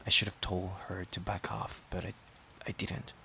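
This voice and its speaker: A man playing a resentful, overworked boyfriend in an emotional audio roleplay, halting and sighing as he repeats himself. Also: sits low, little pitch movement, vocal fry, bright, forceful tone, slight background noise, heavily acted. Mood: hurt, regretful. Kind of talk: emotional audio roleplay, intimate dramatic monologue.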